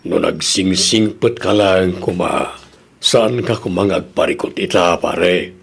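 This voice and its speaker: A man acts a blunt friend in an Ilocano audio drama, teasing and admonishing his pal. His voice is low, gravelly and husky, with an Ilocano accent. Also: slow pace, heavily acted.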